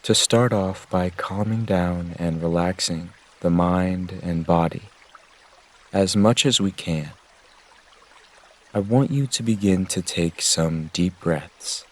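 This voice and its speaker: A man gently guiding a meditation with measured, soothing instructions. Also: deep voice. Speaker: meditation guide. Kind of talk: guided meditation narration.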